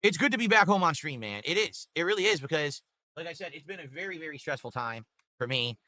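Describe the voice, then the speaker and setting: A man's voice: a little high, fast, dry recording. A man chatting casually on his stream, thanking viewers for tips and talking about his week. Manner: chatty, reads donations aloud, relaxed. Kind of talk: casual livestream chat.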